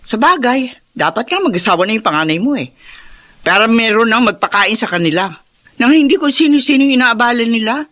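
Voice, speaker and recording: An actress playing a scornful, suspicious nagging wife in a Filipino radio drama, sneering and scolding. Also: female voice, on the high side, animated, fairly quick, a bit bright.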